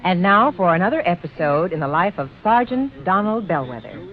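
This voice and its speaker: An actress playing a sergeant's teasing, needling wife in an old radio comedy sketch. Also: female voice, on the low side, sing-song, brisk. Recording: thin, phone-like vintage radio recording.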